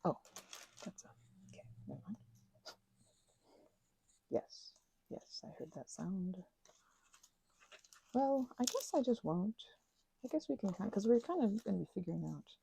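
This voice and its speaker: Chatty, gravelly woman rambling while crafting. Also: medium pitch, sing-song, a little slow, long pauses, mellow, a little hiss or hum, rough recording. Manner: chatty, self-deprecating, drifting between whispers and bursts of talk. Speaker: crafting ASMR creator. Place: home craft table.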